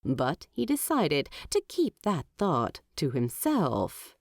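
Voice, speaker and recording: A woman narrating a fantasy audiobook in a measured, wry storytelling manner. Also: low-pitched, wildly swooping pitch, gravelly, dry recording, studio quality. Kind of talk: expressive audiobook narration of fan fiction.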